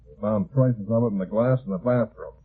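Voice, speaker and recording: An actor playing a gruff, impatient police lieutenant in a 1950s radio detective drama, plain-spoken and insistent. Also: male voice, lowish voice, fairly quick. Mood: businesslike. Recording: thin phone-like vintage radio recording.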